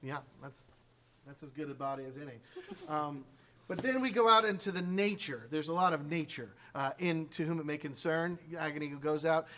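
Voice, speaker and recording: A man's voice: a little high, animated, normal speed, heavy background noise. A man from a radio drama troupe, casually prompting sound effects and rambling with many fillers and restarts. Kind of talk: casual demonstration talk.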